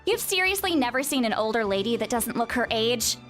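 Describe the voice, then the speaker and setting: A woman's voice: fairly high pitch, very animated, a little fast, fairly bright tone, faint music behind. An actress playing a haughty, teasing, young-looking elder woman in a video game, with theatrical, sardonic phrasing.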